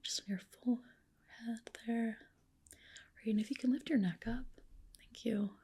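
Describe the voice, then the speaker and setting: A woman whispering gently and reassuringly in a breathy voice, playing a spa clinician in an ASMR roleplay. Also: a little high, steady tone, unhurried.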